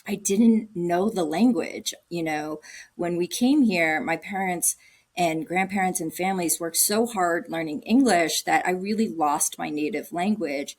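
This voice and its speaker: Earnest woman, unscripted and halting. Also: on the low side. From Cambodia. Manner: warm, earnest, thinking aloud with many ums and restarts. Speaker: guest, agency director. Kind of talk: casual interview answers.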